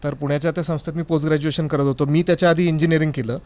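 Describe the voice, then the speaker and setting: Quick-talking man with a higher-pitched voice. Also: flat delivery, darkish tone. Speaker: sound designer, podcast guest. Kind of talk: conversational storytelling in an interview. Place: recording studio.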